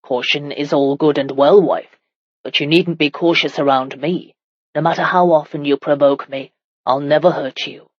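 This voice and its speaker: A medieval warrior husband from a romance audiobook as a female narrator puts him on. The voice is low, firm and measured, with exasperated authority and a protective streak. The sound is very dry and a bit muffled. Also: fairly even intonation, no room sound.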